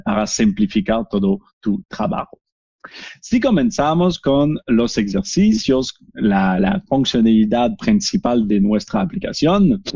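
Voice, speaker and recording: A French Canadian man speaking Spanish as a second language, with a Québécois French accent, presenting a software webinar in a halting way with many fillers. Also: mid-range voice, breathy edge, dry recording, dull audio. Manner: halting, frequent "eh" fillers and restarts. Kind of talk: product demo webinar.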